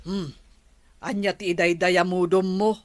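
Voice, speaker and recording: A woman's voice: on the low side, fairly even intonation, a little slow, a little hiss or hum, natural voice. A woman playing a caring elderly grandmother in a radio drama, soothing and protective. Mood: stern. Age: older.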